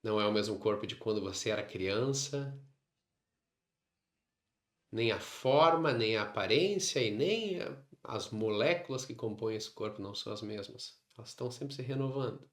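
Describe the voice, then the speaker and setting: A man with a Brazilian Portuguese accent leading a guided meditation, instructing in short phrases separated by long pauses. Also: fairly low pitch. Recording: roomy, echoing sound.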